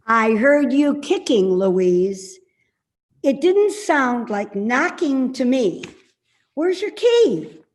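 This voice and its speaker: Woman doing an old mom in a stage play, sarcastic and needling, highish voice that swings around a lot. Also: big pitch swings, drawn-out words, exaggerated voice. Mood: grumbling, sarcastic. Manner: dry, needling, dismissive. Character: hobbling, sharp-tongued elderly mother, evasive and sarcastic. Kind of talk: readers theater stage-play acting.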